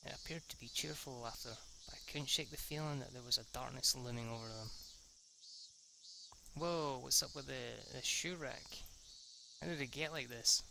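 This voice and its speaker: A young man reads a visual novel aloud in a casual, unpolished way, often tripping over words and restarting sentences. His voice sits at a middle pitch. Insects and crickets chirp clearly in the background of his homemade recording. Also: noisy background. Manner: reads haltingly, restarts lines and swears at slips. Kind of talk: casual let's-read narration.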